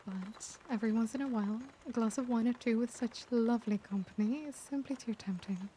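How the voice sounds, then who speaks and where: A woman voicing a caring, refined vampire lady in an ASMR roleplay, soft-spoken and unhurried, with genteel, formal phrasing. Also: on the high side, slight background noise, close to an everyday voice. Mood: relaxed, charmed. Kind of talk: ASMR roleplay, scripted character acting.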